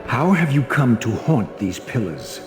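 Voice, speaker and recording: An actor playing a vengeful undead wraith who speaks gravely and with scorn, in long reverb over game music. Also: male voice, medium pitch, big pitch swings, a little slow. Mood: scornful.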